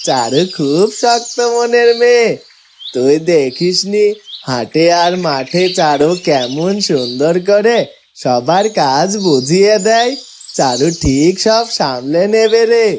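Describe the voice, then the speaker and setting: A woman voicing a gentle, loyal farm ox in a cartoon, sympathetic and reassuring. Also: deep voice, animated, slow pace. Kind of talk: cartoon voice acting. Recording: noisy, birdsong and clicks behind.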